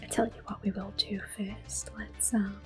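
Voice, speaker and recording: A woman softly whispering gentle, unhurried ASMR commentary as they play a video game, explaining each step in a breathy voice. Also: medium pitch, flat delivery, soft background music. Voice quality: breathy whispering, soft-spoken. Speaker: gaming ASMR video host. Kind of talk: soft-spoken ASMR gameplay commentary.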